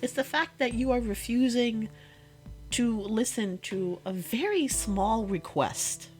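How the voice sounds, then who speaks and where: A woman playing a hurt, exasperated girlfriend confronting her boyfriend in an intimate one-sided audio roleplay, speaking emphatically and haltingly and repeating herself. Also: a little high, a little mellow, faint music behind. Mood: angry, bitter.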